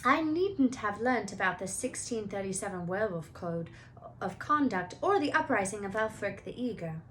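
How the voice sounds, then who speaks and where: A woman voicing a studious, know-it-all schoolgirl from a storybook in a brisk, matter-of-fact way. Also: mid-range voice, animated, a bit bright, slight background noise, close to an everyday voice. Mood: pleased.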